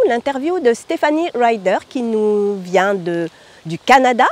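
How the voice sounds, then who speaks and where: Lively Martinican woman hosting a show. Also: a little high. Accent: Martinican French. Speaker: host. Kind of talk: show introduction.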